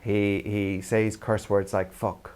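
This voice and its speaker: Low-voiced older Irish man. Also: little pitch movement, slow, darkish tone, clean tone. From Ireland. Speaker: youth theatre practitioner. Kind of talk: reflective talk to camera.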